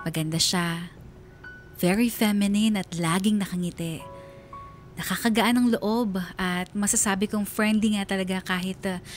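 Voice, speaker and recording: A woman's voice: medium pitch, lightly acted. Woman as confiding young student narrator, music behind. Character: uneasy, guarded young female student confiding her story. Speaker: narrator telling a story to a radio DJ. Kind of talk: confessional radio drama narration.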